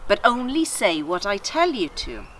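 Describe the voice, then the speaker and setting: A commanding angel, male in the tale and acted out by a woman, admonishes in a measured, bright voice with expressive pitch. The style is storybook, with faint noise behind. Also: low-quality recording. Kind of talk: children's story read aloud.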